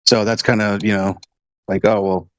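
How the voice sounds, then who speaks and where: A man's voice: lowish voice, little pitch movement, moderate pace, fairly bright tone, no echo. A man in casual conversation who talks hesitantly with many fillers and swears bluntly.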